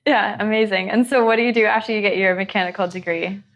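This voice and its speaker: A woman's voice: medium pitch, fairly even intonation, fast, fairly bright tone. A woman hosting a podcast who asks friendly interview questions and encourages her guest with short reactions. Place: office at company headquarters.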